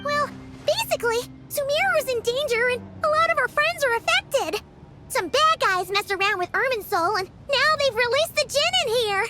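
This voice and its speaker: A woman's voice: extremely high pitch, animated, moderate pace, loud music behind the voice. A woman voicing a small, chirpy, childlike fairy companion in a video game, full of exclamations. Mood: worried, explaining. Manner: chirpy, exclaiming, talks about self in third person. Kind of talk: animated video game voice acting.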